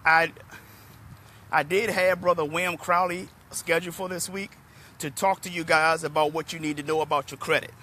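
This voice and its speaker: A middle-aged man from Washington, D.C., a motivational speaker, talking hoarsely and chattily to viewers on a phone held at arm's length outdoors. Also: on the high side, normal speed, a little hiss or hum. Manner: relaxed, chatty, addresses viewers by name. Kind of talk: live-streamed talk to viewers. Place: outdoors in a parking lot under trees.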